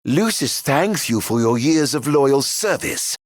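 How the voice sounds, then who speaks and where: A man playing a middle-aged video game villain, sardonically genteel and flamboyant, with mock-polite, drawn-out delivery. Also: mid-range voice, animated, no room sound, clean studio sound. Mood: mocking, playful. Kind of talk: video game battle voice lines.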